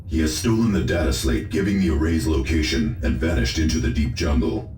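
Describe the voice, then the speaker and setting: Very deep, echoing soldier. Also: male voice, animated, a little mellow, a little hiss or hum. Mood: grave. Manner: formal, measured reporting. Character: stern, dutiful soldier reporting to his commander. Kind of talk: video game character acting.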